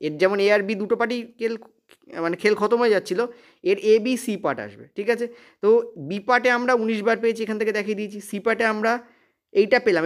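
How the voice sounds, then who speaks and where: Male maths tutor. High-pitched, rapid delivery, emphatic repetition, frequent check questions. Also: a bit bright. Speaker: maths teacher. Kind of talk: exam-prep lesson, explaining step by step.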